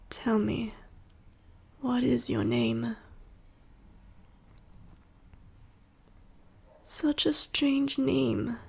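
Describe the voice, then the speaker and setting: A woman voicing a sorrowful, gentle dying dragon in an ASMR roleplay, whispery and short of breath, with slow, formal, old-fashioned phrasing. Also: fairly high pitch, vocal fry, breathy edge, heavily acted. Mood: weary, wary. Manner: slow, formal, archaic phrasing with heavy pauses. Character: dying female dragon, last of her bloodline, sorrowful, gentle.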